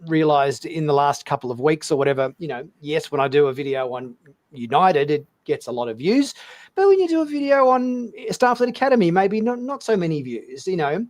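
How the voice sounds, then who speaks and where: A man's voice: on the high side, big pitch swings, a little fast, darkish tone. A man hosting a casual livestream panel chat, speaking in a hesitant, rambling way. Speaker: host.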